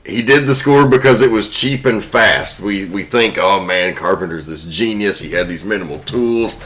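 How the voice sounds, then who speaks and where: Low, bright-voiced male podcast host. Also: moderate pace. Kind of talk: informal film trivia podcast presentation.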